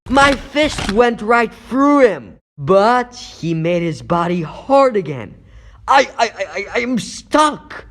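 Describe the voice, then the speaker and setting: Guy doing young teen superhero, highish animated voice, wisecracky but anxious, dramatic thinking out loud, comic dub. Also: slow pace, hardly any pauses, heavily acted. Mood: strained, worried. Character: wisecracking teenage male superhero, earnest and anxious. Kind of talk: comic book dub voice acting.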